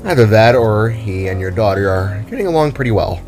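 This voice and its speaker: A man's voice: fairly low pitch, lively intonation, fairly quick, a little mellow, faint music behind, close to an everyday voice. A man voicing a nervous father who jokes to hide his worry in an audio story. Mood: uneasy, joking. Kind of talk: scripted character voice in audio story.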